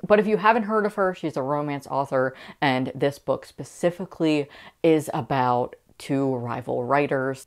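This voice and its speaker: A young adult woman speaking enthusiastically and casually to camera as a book vlogger. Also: low voice.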